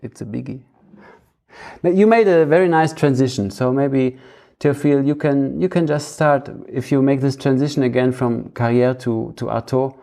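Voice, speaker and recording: Gravelly, mellow male podcast host. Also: medium pitch. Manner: halting, searching for words. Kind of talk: casual conversational podcast talk.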